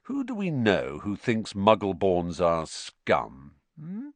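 A man's voice: medium pitch, huge pitch swings, normal speed, a bit bright, no echo, voice pushed up. A man voicing a hot-tempered young schoolboy in an audiobook, blunt, defiant and sarcastic. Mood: mock puzzled. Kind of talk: audiobook character acting.

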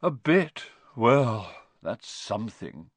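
A man voicing a solemn, cryptic centaur in a storybook, with a deep, sorrowful voice and a slow, portentous manner. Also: big pitch swings, a bit bright, no room sound, heavily acted. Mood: mournful, polite.

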